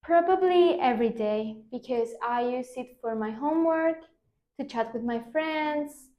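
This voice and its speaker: A woman in her twenties answers speaking-test questions carefully, with small hesitations. Her voice is high. The room adds a clear echo. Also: measured pace, lo-fi sound. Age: 20s. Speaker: student, exam candidate. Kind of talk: practice exam answers. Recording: echoey room.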